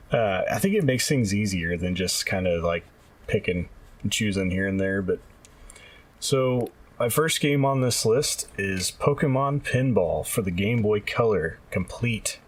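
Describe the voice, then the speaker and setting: A man's voice: lowish voice, animated, unhurried, husky. A man co-hosting a podcast, talking in a casual, conversational way. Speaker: podcast co-host. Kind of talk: casual podcast chat about retro games.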